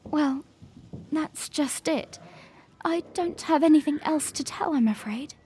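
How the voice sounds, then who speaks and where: A high-voiced young princess, acted by a woman, prim, serious and bound by duty. She speaks earnestly in an audio drama, with faint noise on the recording. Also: a little slow, mellow. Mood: troubled. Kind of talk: fan audio drama acting.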